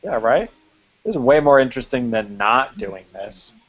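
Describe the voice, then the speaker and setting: A man's voice: mid-range voice, sing-song, moderate pace. Man chatting casually, swearing, muffled stream audio. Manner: swears freely, lots of "like" fillers. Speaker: film site writer hosting a live-stream chat. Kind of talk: casual live-stream chat. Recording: muffled online call audio.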